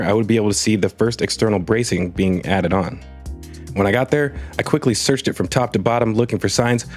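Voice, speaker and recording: A man's voice: fairly low pitch, darkish tone. A man narrating a scripted space news video, telling the story with wry comic asides.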